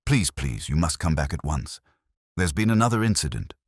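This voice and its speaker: A man's voice: extremely low pitch, big pitch swings, normal speed, slightly rough, no echo, clean studio sound, full character voice. A man voicing a flustered, anxious village woman with a breathless, quavering delivery for a radio-style mystery story. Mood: flustered, urgent. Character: flustered, gasping village woman bringing news of an incident.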